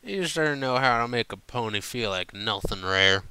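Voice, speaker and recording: A man's voice: mid-range voice, animated, normal speed, pushed and bright, smooth, dry recording, rough recording. A man voicing a stubborn, earnest, drunken female country pony in a storybook, with a slurred American Southern drawl and folksy, blurting manner. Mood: defensive. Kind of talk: fan fiction audiobook character voice.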